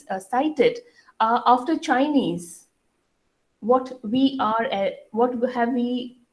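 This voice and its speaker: A woman's voice: a little high, darkish tone, low-quality recording. Earnest Indian-accented woman addressing students. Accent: Indian English. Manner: earnest, many fillers, asks the audience questions. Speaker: school head addressing students. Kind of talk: school assembly speech.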